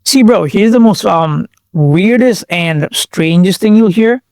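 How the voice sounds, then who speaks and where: Young guy, highish voice, laid back slangy chat, dry close sound. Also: slow, no echo. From Bangladesh. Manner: relaxed slangy talk full of fillers and restarts. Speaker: guest. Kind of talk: casual chat.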